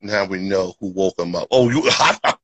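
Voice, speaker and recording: Bright, upbeat podcast host, dry close mic. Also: male voice, low-pitched, animated, moderate pace, no room sound. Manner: upbeat, filler-heavy, repeats "you know what I'm saying". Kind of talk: casual live podcast chat. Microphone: podcast microphone.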